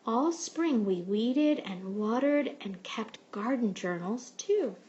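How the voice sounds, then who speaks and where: A woman reading a picture book aloud like a teacher, with expressive, measured storytelling. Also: a little high, dull audio. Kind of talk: storybook read-aloud narration.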